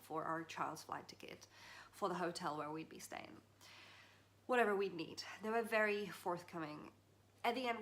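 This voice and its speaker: A woman's voice: lowish voice, fairly bright tone. A woman from the Czech Republic talking through personal advice in an earnest, chatty way, with small laughs and restarts. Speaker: vlogger. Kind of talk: casual heart-to-heart advice.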